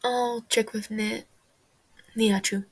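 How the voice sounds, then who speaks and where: A woman voicing an easygoing, fatherly man in an audio story, calm, casual and reassuring. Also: a little high, takes it slowly, no echo. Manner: casual, says mate.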